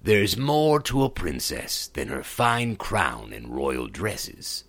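A man voicing a small, polite green frog that speaks low, soft and patient in a storybook reading. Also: wildly swooping pitch, unhurried, gravelly, slightly rough, heavily acted. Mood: gentle.

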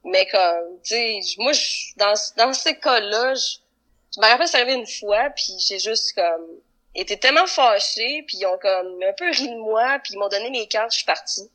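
A woman's voice: on the high side, animated, moderate pace, bright, forceful tone. A woman with a Québécois accent talking casually on a video call, loose and hesitant with many fillers. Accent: Québécois French. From Quebec. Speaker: band member, musician guest.

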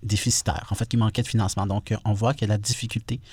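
A young man with a Quebec French accent, talking casually on radio with relaxed fillers. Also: fairly low pitch, rapid-fire, slightly rough, no room sound. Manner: relaxed, frequent "euh" fillers. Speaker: guest co-host.

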